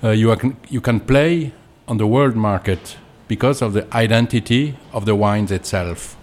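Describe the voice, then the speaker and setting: A man with a French accent, a winemaker being interviewed, explaining things in hesitant, deliberate non-native English. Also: lowish voice, darkish tone. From Alsace, France.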